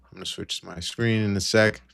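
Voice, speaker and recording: A man's voice: fairly low pitch, fairly even intonation, fairly quick, creaky, a bit bright, smooth. A man co-hosting a live trading stream with casual market commentary, thinking aloud in a hesitant way.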